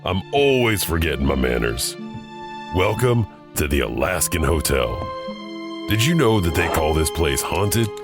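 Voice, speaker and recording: An actor playing a chatty, eerie hotel janitor who hosts horror tales, over a music bed. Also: male voice, rumbling low, big pitch swings, unhurried, heavily acted. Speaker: host narrator. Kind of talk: audio drama narration.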